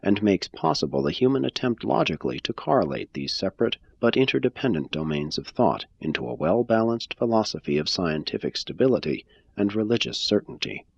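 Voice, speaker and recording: A man giving a measured, phrase-by-phrase scripted reading of a religious text. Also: lowish voice, sing-song, creaky, husky, no echo, lo-fi sound. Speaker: reader.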